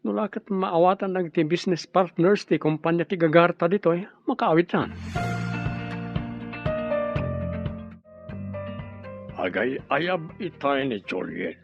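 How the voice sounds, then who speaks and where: A man's voice: fairly high pitch, expressive, moderate pace, gravelly, hardly any pauses, muffled recording, over music. An actor playing an easygoing, teasing Filipino family man in an Ilocano radio drama. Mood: earnest.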